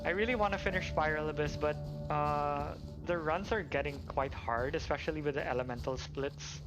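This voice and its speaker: A man with a slightly high voice hosts a casual game livestream. He thinks aloud as he plays, often hesitant about his choices. Music plays clearly behind him. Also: moderate pace, rough recording. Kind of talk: casual live gameplay commentary.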